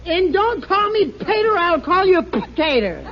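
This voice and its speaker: A bumbling, easily rattled family man who stammers through every line. His voice is unusually high and jumps around a lot. It sounds like a muffled old radio show, with a studio audience roaring behind it. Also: big pitch swings, measured pace, a loud crowd, very noisy, heavily acted. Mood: exasperated. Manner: stammering, sputtering. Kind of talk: 1950 radio sitcom acting. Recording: old radio broadcast with loud audience laughter.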